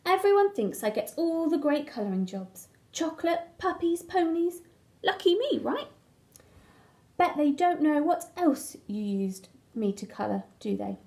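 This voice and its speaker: A woman's voice: high voice, very animated, a little slow, mellow, heavily acted. A woman voicing an embarrassed, resentful brown crayon from a storybook with wry sarcasm. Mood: sarcastic, embarrassed. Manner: sarcastic, aggrieved.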